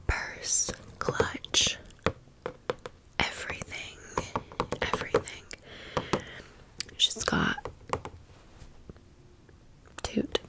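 An American woman whispering in a chatty, rambling ASMR style, as a video creator chatting through a haul and story. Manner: chatty, rambling, repeats words softly.

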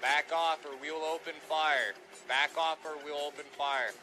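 A man talks through a roleplay game stream in a casual, informal way. He directs his squad like a bossy leader, often repeating his commands. Clear music and game sound play behind him. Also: on the high side, steady tone, a little slow. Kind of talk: casual in-character gameplay chat.

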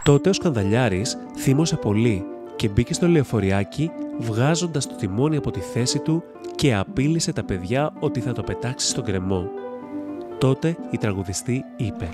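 A man's voice: mid-range voice, expressive. A man narrating a children's fairy tale as a scripted storyteller. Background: music.

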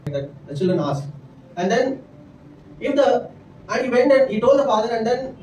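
A man's voice: extremely high pitch, big pitch swings, normal speed, darkish tone, slight background noise. A middle-aged man with an Indian English accent, preaching from a church stage into a handheld mic, earnest and peppered with asides. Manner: earnest preaching with frequent "right?" and "amen" asides. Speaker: pastor. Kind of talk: sermon. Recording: echoey church room miked from a distance.

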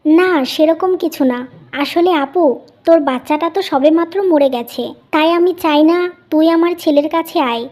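Woman acting a high, curt, suspicious young mother. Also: moderate pace, darkish tone, lightly acted. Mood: cold, hostile. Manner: curt, accusing, dismissive. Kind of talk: Bengali animated family melodrama.

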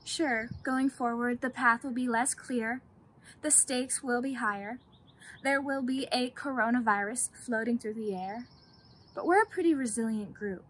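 A woman's voice: fairly high pitch, a little slow, slight background noise. A young woman giving a self-mocking, comic graduation speech, recorded on a camera mic outdoors in a home garden. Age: young adult. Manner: deadpan self-mocking humor. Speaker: senior class speaker.

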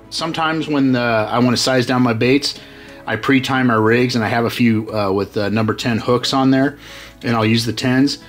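A middle-aged man hosting a fishing channel, casually walking through how he rigs baits, with lots of fillers and restarts. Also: mid-range voice. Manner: relaxed, many fillers and restarts. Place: workshop with tackle-covered walls.

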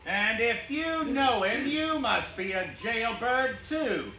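Sneering bartender bully for radio play, male actor: very high, sharp, slangy, roomy stage sound. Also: expressive, slow, faint music behind, exaggerated voice. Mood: scornful. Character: gruff, hostile bartender throwing out customers. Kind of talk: live 1940s-style radio play acting. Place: small theatre stage, indoors. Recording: roomy stage recording, faint noise.

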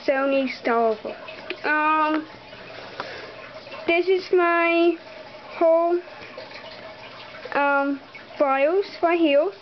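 A young girl giving a casual, halting tour of her toy horse collection, with many ums, talking straight to viewers. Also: high-pitched, muffled recording, a little hiss or hum. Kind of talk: casual barn tour narration. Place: bedroom. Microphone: handheld camera close to the floor.

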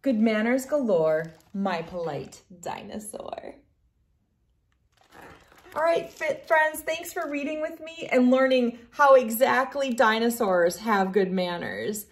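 A woman in her thirties reading a picture book aloud in an expressive storytelling manner for young children. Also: fairly high pitch, slow. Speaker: children's book reader and host. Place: living room at home, indoors.

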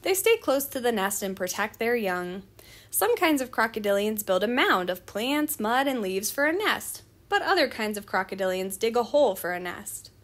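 A woman's voice: a little high, sing-song, normal speed. A woman reading a children's nonfiction book aloud in a teacherly manner.

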